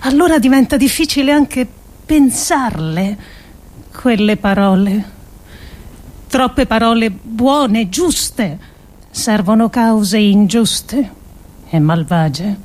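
Slow, higher-pitched woman, solemn dramatic reading. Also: darkish tone. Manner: solemn, deliberate, emphatic phrasing with pauses. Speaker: actress reading a radio monologue. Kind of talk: dramatic scripted literary monologue.

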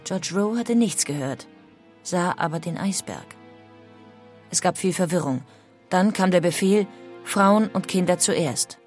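A woman's voice: on the low side, lively intonation, measured pace, long pauses, a little mellow, soft background music. A woman playing an emigrant in a dramatised reading of historical letters and accounts. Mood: sober recounting.

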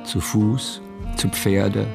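Music plays behind a radio-drama narrator with a very low voice. He tells the story in a measured, literary way, reflective and tinged with melancholy. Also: flat delivery, gravelly, heavily acted.

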